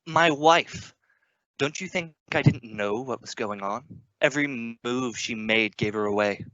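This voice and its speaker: A man playing a suspicious, menacing stepfather in an old-time radio suspense play, speaking in a deliberate, insistent way. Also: mid-range voice, a bit bright, no room sound, dull audio, close to an everyday voice. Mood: accusing, menacing. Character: sinister, suspicious murderous stepfather, smugly menacing.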